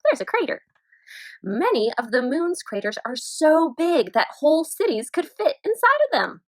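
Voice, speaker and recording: A woman teacher reading a children's nonfiction book aloud in an expressive storytime manner. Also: high-pitched, normal speed, dry recording.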